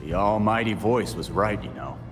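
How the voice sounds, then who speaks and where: An actor playing a gruff masked vigilante man, blunt and dryly sarcastic, in a game cutscene. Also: on the low side, moderate pace, close to an everyday voice. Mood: grim. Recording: reverb with music score.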